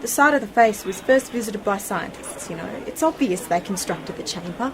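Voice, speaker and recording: A woman's voice: medium pitch, animated, normal speed, noisy background, natural voice. A woman playing a curious, sceptical young niece in an amateur science fiction radio play, speaking in a questioning, matter-of-fact way. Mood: sceptical, probing.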